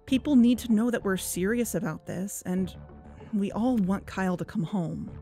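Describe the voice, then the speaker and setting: A woman's voice: mid-range voice, normal speed, warm tone, faint music behind, natural voice. An actress playing an earnest, persuasive young woman who hosts an investigative podcast in an audio drama. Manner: earnest, coaxing. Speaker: podcast host. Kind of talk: fiction podcast narration and phone dialogue.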